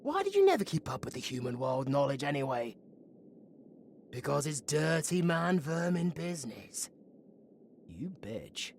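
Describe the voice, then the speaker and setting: A man with a British accent playing a flirtatious, gleefully wicked undead necromancer in a theatrical, taunting audio roleplay, slipping between breathy whispers and shouts. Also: on the high side, sing-song, measured pace, long pauses, a little hiss or hum, full character voice. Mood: mocking, sardonic. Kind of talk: ASMR audio roleplay acting.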